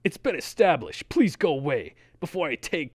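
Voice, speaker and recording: Male straight man, annoyed, animated, dead-dry recording. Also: on the high side, normal speed, slightly rough, no echo.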